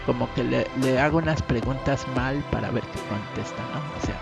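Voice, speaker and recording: Hesitant Mexican man over loud music. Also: medium pitch, a little fast, vocal fry. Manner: hesitant, restarts a lot, asks questions. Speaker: host. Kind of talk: casual video talk.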